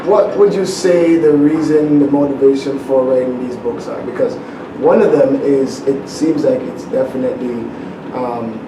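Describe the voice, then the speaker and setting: A man co-hosting a casual interview, asking long, rambling, loosely built questions. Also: medium pitch. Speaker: co-host. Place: studio. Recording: roomy, distant mic, noise.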